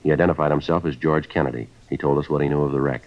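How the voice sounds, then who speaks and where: An American actor playing a terse, deadpan police detective who narrates his case in a 1950s radio drama style. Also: a bass voice, rapid-fire. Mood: flat, matter-of-fact. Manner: deadpan, matter-of-fact. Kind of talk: 1950s radio acting, clipped scripted narration. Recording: dull vintage radio recording with music.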